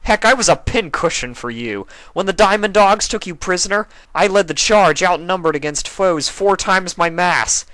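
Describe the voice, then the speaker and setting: A man reading a fanfic aloud and voicing a heartbroken, bitter young male pony in a loud, angry way. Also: high-pitched, lively intonation, normal speed, hardly any pauses, no echo, pitched above the performer's own voice, rough recording.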